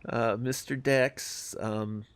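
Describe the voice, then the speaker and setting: A man's voice: mid-range voice, steady tone, slow pace, smooth. A man streaming a strategy game, chatting casually with viewers, hesitant and self-deprecating, with many fillers. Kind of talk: casual live-stream commentary. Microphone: desk microphone, no camera.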